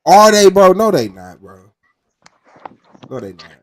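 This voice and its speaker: A man's voice: sits high, big pitch swings, measured pace, a little hiss or hum. A man hosting a casual debate podcast, arguing his point insistently in slangy talk.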